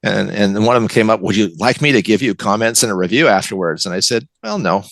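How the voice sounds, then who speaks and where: An American man talks as an author guest on a podcast, with a very low, creaky voice. The sound is close and dry. He is conversational and anecdotal, checking in with "right?" and "you know". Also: lively intonation, fast, fairly bright tone, no echo. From West Coast of the United States. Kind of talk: casual interview chat.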